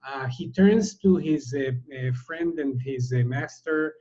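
Man, middle-aged, husky mellow voice, hesitant guest with lots of ums, muffled recording. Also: a little high. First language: Hebrew. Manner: halting, many fillers and restarts. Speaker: guest, translator. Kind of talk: interview answer. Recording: dull, muffled sound.

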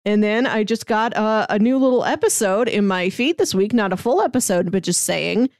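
A woman's voice: mid-range voice, animated, moderate pace, a little mellow, no echo, studio quality. A woman co-hosting a podcast in casual, chatty style, given to chuckling as she talks. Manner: chuckles often while talking. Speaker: podcast co-host. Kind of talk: casual chat.

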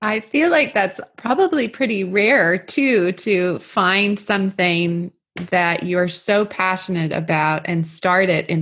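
A woman's voice: fairly low pitch, a bit bright, breathy edge. A woman interviewer asking conversational questions in an attentive, encouraging way.

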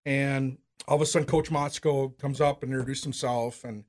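A man's voice: medium pitch, steady tone, normal speed. A middle-aged American man telling casual anecdotes as a podcast guest, repeating phrases for emphasis. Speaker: high school coach, podcast guest.

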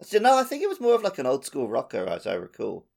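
A man's voice: medium pitch, huge pitch swings, fast talker, vocal fry, a little mellow. A man co-hosting a gaming podcast in casual chat, with a dry, joking manner. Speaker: podcast co-host. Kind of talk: casual chat about a video game.

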